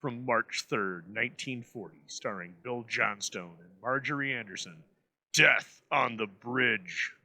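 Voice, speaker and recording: A man hosting an old-time radio show, reading scripted narration with formal, measured phrasing. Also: mid-range voice, vocal fry, a crowd in the background, low-quality recording. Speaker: host introducing old radio episodes.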